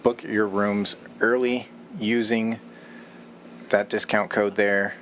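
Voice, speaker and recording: Flat-toned male co-commentator. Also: lowish voice, slow pace, slight background noise. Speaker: poker co-commentator. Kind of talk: commentary and event promotion.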